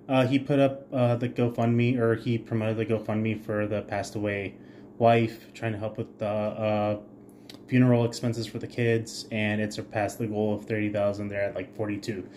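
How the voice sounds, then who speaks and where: A man co-hosting a podcast, telling stories conversationally in a halting, informal way with casual swearing. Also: fairly low pitch, fairly even intonation, darkish tone, a little hiss or hum. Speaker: podcast co-host.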